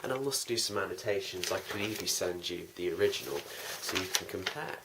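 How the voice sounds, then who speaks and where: A young man with a fairly low voice speaks in an easygoing, rambling way, filling gaps with ums. A noticeable layer of background noise sits under the recording. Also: quick pace, creaky. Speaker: producer of a fan audio drama. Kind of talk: casual address to viewers.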